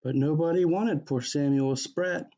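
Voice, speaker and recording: A man's voice: a little high, unhurried, warm tone, dull audio. A man reading a rhyming picture book aloud as a storyteller for children.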